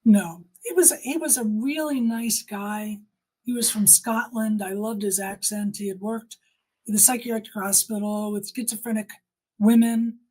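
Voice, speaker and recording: An older woman speaks as a guest in an interview, giving personal testimony. Her voice sits at a middle pitch and has a husky, unclear edge. She talks emphatically, repeating phrases deliberately to drive them home. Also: darkish tone, rough recording.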